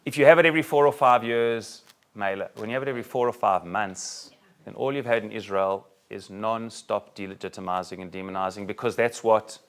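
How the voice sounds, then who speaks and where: Man, from South Africa, middle-aged, low gravelly voice, rabbi taking audience questions, jokes, restarts often. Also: brisk. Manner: joking, animated, many restarts. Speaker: rabbi speaking to a group. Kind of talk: talk with audience Q&A. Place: room with a live audience.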